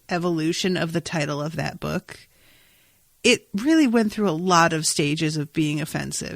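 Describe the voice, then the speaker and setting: Female podcast co-host, lowish gravelly voice, wry and emphatic in casual chat. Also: no echo, studio quality. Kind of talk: casual chat about a TV episode.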